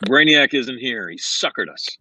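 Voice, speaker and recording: An actor playing a decisive, commanding male superhero leader in an animated series table read. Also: medium pitch, normal speed, a little hiss or hum, poor audio. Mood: firm, urgent.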